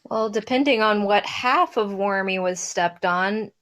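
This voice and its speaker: A woman's voice: mid-range voice, unhurried, fairly bright tone. A woman taking part in a show, chatting casually. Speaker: show participant. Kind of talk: casual chat.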